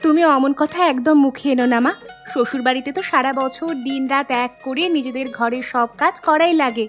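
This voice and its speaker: Woman acting a dutiful young daughter, high voice, cheerful, caring, animated family tale, soft backing music. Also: a little fast, natural voice. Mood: eager, affectionate. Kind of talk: Bengali animated family story dialogue.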